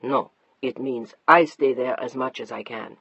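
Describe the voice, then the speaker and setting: A narrator voicing a middle-aged husband who speaks low, calm and unyielding, in a slightly muffled dry recording. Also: male voice, measured pace, slightly rough, dry recording, full character voice. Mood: steely calm. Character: stern controlling husband, middle-aged, quiet and steely.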